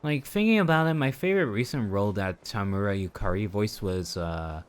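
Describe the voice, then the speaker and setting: A man's voice: fairly low pitch, animated, a little fast, clean tone. A man doing casual, rambling live commentary on a video game, playfully chatting to his viewers, with game music behind him. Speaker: game streamer.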